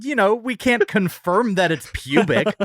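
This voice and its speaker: Male podcast co-host, lively swooping delivery, casual and jokey, prone to hyperbole, dry studio sound. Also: high voice, a little slow, dry recording. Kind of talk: casual comedic food review chat.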